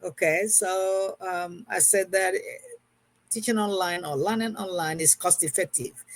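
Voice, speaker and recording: A woman's voice: fairly low pitch, measured pace. A woman in her sixties, a math teacher giving an informal recorded lesson, who keeps repeating and rephrasing her points. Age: 60s.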